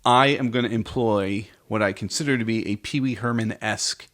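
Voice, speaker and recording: A man's voice: lowish voice, brisk, fairly bright tone. A man hosting a podcast in a casual, conversational style. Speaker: podcast host.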